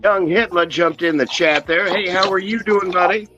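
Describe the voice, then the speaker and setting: A man's voice: high voice, moderate pace, highs cut off, over music. A man in his fifties chatting on a stream, friendly and wry. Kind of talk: casual chat.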